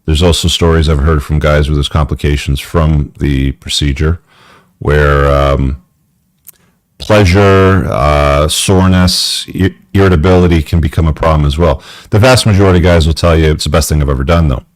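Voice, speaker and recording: Deep-voiced blunt male call-in host. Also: normal speed, no echo. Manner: blunt, casual advice with frequent ums. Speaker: podcast host. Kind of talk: live call-in advice show. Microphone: headphones.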